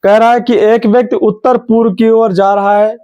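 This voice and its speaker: Young guy coaching a reasoning class, fairly high voice, sore throat, keeps pushing students to answer fast. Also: dull audio. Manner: energetic, urging students, repeats key words. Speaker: reasoning teacher. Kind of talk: online exam coaching class.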